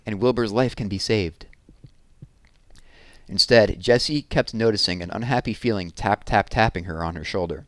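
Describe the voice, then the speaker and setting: A man narrating a children's book aloud with expressive storytelling. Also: lowish voice, no echo.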